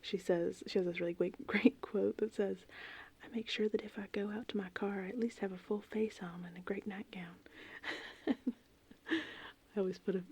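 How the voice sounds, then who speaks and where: A woman rambling casually and informally in an ASMR style, with a soft, breathy voice that often slips into a whisper. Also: mid-range voice, moderate pace. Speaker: ASMR host. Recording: steady rain and thunder ambience. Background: gentle rain and thunderstorm sounds.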